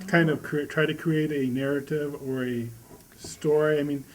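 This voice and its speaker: A woman's voice: deep voice, fairly even intonation, moderate pace. A woman interviewer putting questions and comments in a casual, conversational way. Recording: distant mic, faint room noise.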